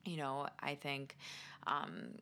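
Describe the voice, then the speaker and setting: A woman's voice: rumbling low, expressive, slow, creaky, bright, forceful tone. A woman chatting casually on a podcast, talking reflectively about her own experience. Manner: reflective, sharing personal experience. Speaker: podcast speaker on health and nutrition. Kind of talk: casual conversational chat.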